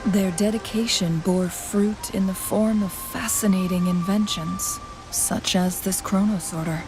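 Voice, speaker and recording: An actress playing a composed, scholarly young woman adventurer in a video game, speaking in a measured, articulate, formal way. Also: medium pitch, steady tone, close to an everyday voice. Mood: thoughtful. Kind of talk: video game story dialogue. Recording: game music playing behind.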